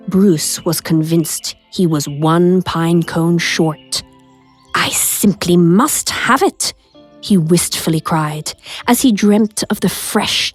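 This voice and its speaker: A woman reading a rhyming children's picture book aloud as a storyteller, with a dramatic, deliberate delivery. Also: lowish voice. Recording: music behind the voice. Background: music.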